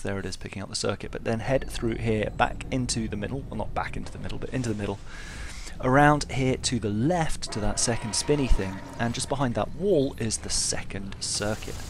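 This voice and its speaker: A man's voice: medium pitch, background noise. A man giving an offhand, chatty game walkthrough voice-over, with self-deprecating asides. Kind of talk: casual walkthrough commentary.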